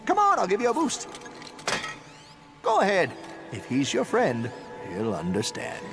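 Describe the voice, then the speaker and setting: An old plumber guy, gravelly and folksy, voice goes up and down a ton, music under it. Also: high voice, wildly swooping pitch, a little slow, heavily acted. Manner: folksy drawl, rambling. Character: folksy old male plumber, chatty and kind. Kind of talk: video game cutscene acting.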